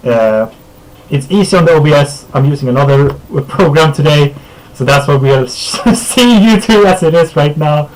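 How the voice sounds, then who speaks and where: A man from Sweden whose first language is Swedish, hosting a casual streamed podcast, laid-back and quick to chuckle, with filler words and trailing sentences. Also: a little high, expressive, low-quality recording. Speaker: podcast host.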